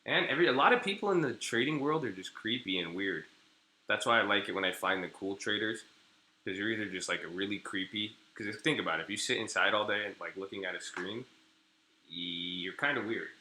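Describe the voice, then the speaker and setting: A man's voice: on the low side, brisk, pushed and bright, slight background noise. A young man from California chatting casually on a live trading stream, rambling, cursing and restarting his sentences. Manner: rambling, crude slang, frequent restarts. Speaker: day trader streaming live. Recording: echoey room.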